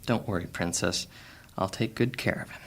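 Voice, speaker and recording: An actor playing a guarded, cunning male thief in a fantasy audio drama, speaking in a dry, measured way. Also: low-pitched, fairly bright tone, slight background noise. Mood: scheming.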